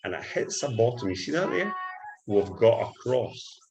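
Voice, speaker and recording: A Scottish man giving a trading tutorial, talking up his strategy in an excited salesman patter and often calling out "ladies and gentlemen.". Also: medium pitch, normal speed, over music, rough recording. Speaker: forex trading instructor. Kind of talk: screen-share trading tutorial.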